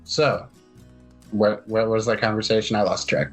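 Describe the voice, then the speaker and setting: A man with a slightly low voice speaks over music. He improvises a tabletop game in a casual, halting way, often doubling back on his words. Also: little pitch movement, rough recording. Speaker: game master and player. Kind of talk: improvised tabletop roleplay narration and casual chat. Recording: music playing under the voice.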